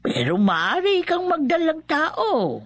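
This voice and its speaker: An actress playing a grave, knowing village folk healer in a horror radio drama, speaking with ominous emphasis. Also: female voice, high-pitched, huge pitch swings, slow pace, faint music behind, exaggerated voice. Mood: ominous warning.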